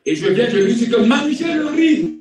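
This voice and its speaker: A man preaching as a pastor, repeating key phrases for emphasis and swinging between quiet and loud delivery. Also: very high voice, lively intonation, normal speed. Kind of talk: sermon. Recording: very echoey room, distant mic.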